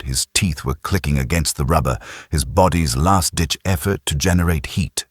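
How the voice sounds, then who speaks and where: Very deep, husky male audiobook narrator. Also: no echo, clean studio sound. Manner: intense, grave storytelling. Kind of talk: dramatic scripted sci-fi narration.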